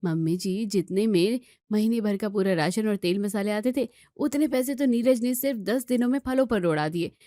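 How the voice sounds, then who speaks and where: A woman's voice: mid-range voice, normal speed, hardly any pauses, mellow, no echo, studio quality, close to an everyday voice. A woman voicing an anxious, complaining young daughter-in-law in an Indian family story, with fretful, emphatic delivery. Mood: worried, indignant. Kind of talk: acted Hindi family story dialogue.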